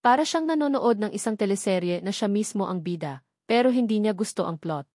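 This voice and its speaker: A woman's voice: medium pitch, animated, a little fast, mellow, dry recording. Woman narrating a dramatic story, dry sound. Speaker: audiobook narrator.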